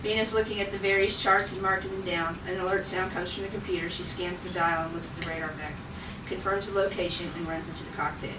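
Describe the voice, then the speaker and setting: Woman, table read narrator, stage directions, halting informal delivery, roomy echo, background noise. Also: fairly low pitch, fairly even intonation. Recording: echoey room, distant mic, noisy.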